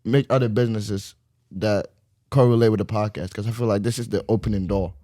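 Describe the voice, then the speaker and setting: Young dude on a podcast, lowish warm voice, trips over his words, dry sound. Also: steady tone, no room sound. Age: 20s. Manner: quick, halting, repeats words. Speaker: podcast co-host. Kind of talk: casual podcast chat.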